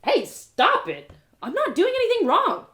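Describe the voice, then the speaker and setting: Storybook heroine read by a woman: spirited young girl, earnest, outspoken, questioning, with animated pitch swings. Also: measured pace, full character voice. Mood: angry, pained. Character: spirited, kind young girl, indignant at injustice.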